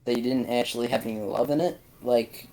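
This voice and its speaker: A man's voice: medium pitch, steady tone, fairly quick, mellow, low-quality recording. A man giving blunt, dismissive opinions in a casual podcast chat, repeating his points for emphasis. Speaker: podcast panelist.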